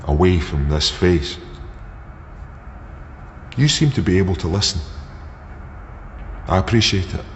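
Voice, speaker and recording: Radio drama: paranoid Scottish veteran, deep, unsettlingly matey, cavernous reverb over audible background noise. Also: male voice, lively intonation, a little slow, long pauses. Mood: bitter, guarded. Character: bitter disfigured ex-soldier, paranoid, chummy yet unsettling. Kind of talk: naturalistic radio drama acting. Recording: dull sound, long reverb, noisy background.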